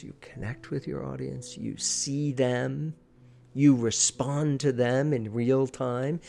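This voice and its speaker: A man with a mid-pitched voice coaches listeners on presenting in an informal, instructional style. He speaks emphatically and repeats key phrases to drive them home. Music plays faintly behind him. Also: gravelly, a little mellow.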